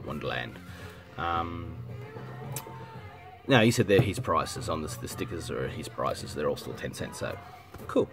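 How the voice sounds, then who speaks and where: An Australian man with a blocked, cold-stuffed voice, chatting casually and rambling, often stopping to clear his throat and correct himself. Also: a bass voice, animated, measured pace, long pauses. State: congested with a head cold. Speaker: vinyl collecting vlogger. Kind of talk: casual rambling chat to camera. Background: music playing softly.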